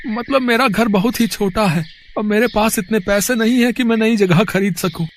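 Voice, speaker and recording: A man's voice: sits high, normal speed, natural voice. A man playing a humble, earnest poor village husband in a cartoon story, speaking in a hesitant, respectful, pleading way. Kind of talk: acted cartoon story dialogue.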